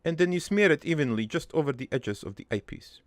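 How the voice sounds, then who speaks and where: A man playing a gruff, crude army veteran turned prankster, telling his regretful life story in a halting, matter-of-fact ramble for an improvised cartoon monologue. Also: on the high side, expressive, no echo, lightly acted. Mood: proud, mischievous.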